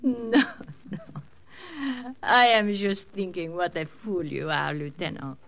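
A woman's voice: fairly low pitch, animated, slow, vocal fry, exaggerated voice. An actress playing a teasing, seductive woman in a 1940s radio drama. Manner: coy, teasing, sprinkles French phrases.

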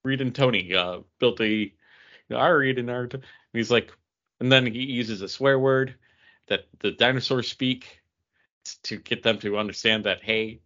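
A man with a mid-pitched, bright and forceful voice talks casually as a podcast co-host. He rambles with enthusiasm through a comic book review. The recording is a little dull and lo-fi. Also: moderate pace.

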